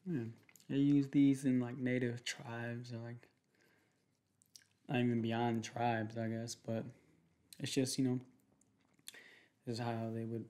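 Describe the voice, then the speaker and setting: A young man speaking in a casual, slangy, halting way in an ASMR boyfriend roleplay. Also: mid-range voice, warm tone. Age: young adult. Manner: slangy, laid-back, halting with repeated phrases. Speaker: ASMR creator playing a protective boyfriend. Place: small home room, indoors.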